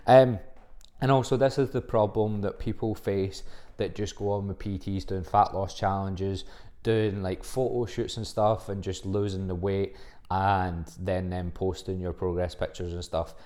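A man's voice: sits low, fairly even intonation, measured pace. A man hosting a solo podcast, talking casually and bluntly, rambling with fillers and the odd swear word. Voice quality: soft-spoken. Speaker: podcast host and fitness coach.

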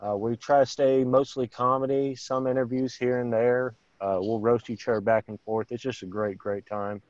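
A man from Kansas, a podcast guest, pitching his show in a laid-back way with lots of fillers. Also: flat delivery, normal speed, no room sound. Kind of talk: casual chat. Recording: dry, slightly muffled mic.

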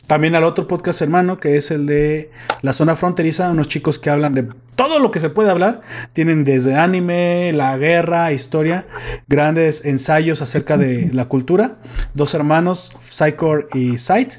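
Guy hosting a podcast, kinda high voice, jokey, trips over words reading comments. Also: a little mellow. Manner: joking, laughs often, stumbles reading aloud. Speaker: podcast host. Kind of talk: casual podcast chat.

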